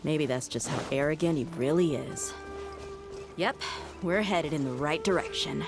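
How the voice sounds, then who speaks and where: A confident female mercenary speaks in a video game over background music. Her voice sits low, with an assured, wary, matter-of-fact delivery. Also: moderate pace.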